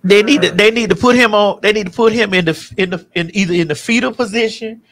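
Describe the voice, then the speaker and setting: A woman's voice: medium pitch, fast, fairly bright tone. A middle-aged woman hosting a livestream, chatting in a blunt, playful, profane casual way. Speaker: talk show host. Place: indoor studio livestream. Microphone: studio mic on stand, close.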